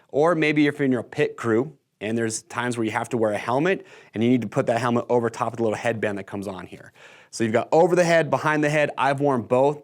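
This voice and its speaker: American man presenting gear, enthusiastic and conversational. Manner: enthusiastic, conversational sales pitch. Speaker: product video presenter. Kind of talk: casual product walkthrough to camera. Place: indoor workshop-style studio set.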